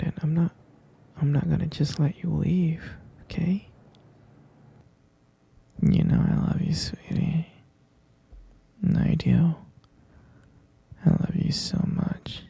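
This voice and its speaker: Guy doing a creepy-sweet lovesick kidnapper asmr, super husky and breathy, half whispering, heavy vocal fry, possessive but soothing. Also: on the high side, no echo, full character voice. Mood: possessive, insistent. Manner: soft breathy half-whispered coaxing, repeating himself. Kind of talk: intimate ASMR roleplay.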